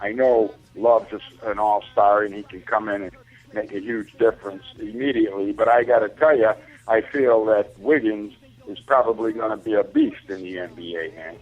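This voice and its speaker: A man's voice: fairly low pitch, moderate pace. Male sports caller on a tinny phone line. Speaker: caller to a sports talk show. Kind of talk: casual fan opinion. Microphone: telephone.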